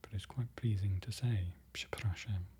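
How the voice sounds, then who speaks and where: A presenter whispering an ASMR-style lesson, slowly repeating foreign words and correcting themselves. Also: sits low, fairly even intonation, husky. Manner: whispering throughout, soft and close. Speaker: presenter learning Polish from a book.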